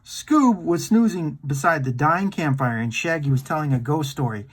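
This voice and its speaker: A man reading a children's storybook aloud in an animated storytime style. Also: fairly high pitch, normal speed, husky.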